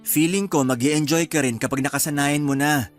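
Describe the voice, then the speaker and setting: A man's voice: a little high, steady tone, moderate pace, soft background music, lightly acted. A man playing an easygoing, reassuring young husband in a radio drama. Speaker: husband character.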